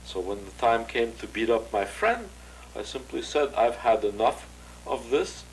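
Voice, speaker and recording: An older man giving a measured, recalled testimony as an interviewee, with frequent pauses and filler sounds. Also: mid-range voice, animated, gravelly, a little hiss or hum, poor audio.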